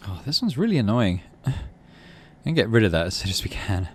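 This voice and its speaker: A man's voice: fairly low pitch, very animated, normal speed, a little hiss or hum. A man hosting a gaming video, reading story text expressively and adding his own casual commentary.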